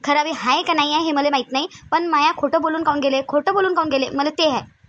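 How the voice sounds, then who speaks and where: Woman playing a shrill, scolding Varhadi mother-in-law. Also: fast talker, muffled recording, slight background noise, close to an everyday voice. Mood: accusing. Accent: Varhadi Marathi of Vidarbha. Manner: scolding, accusing, insistent. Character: stern, aggrieved mother-in-law, bossy family matriarch. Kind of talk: amateur family comedy-drama dialogue.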